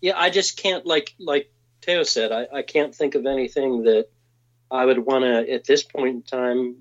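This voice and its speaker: A man's voice: medium pitch, fairly even intonation, normal speed. A man in his forties hosting an interview, asking questions in a hesitant way and often repeating words. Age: 40s. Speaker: podcast host. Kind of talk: casual interview. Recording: slightly dull lo-fi sound.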